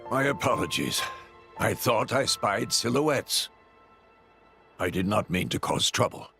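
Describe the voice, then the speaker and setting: A man's voice: lowish voice, expressive, unhurried, vocal fry, bright, forceful tone, soft background music, heavily acted. A man playing a meek, apologetic soldier in a video game, with a formal, deferential manner.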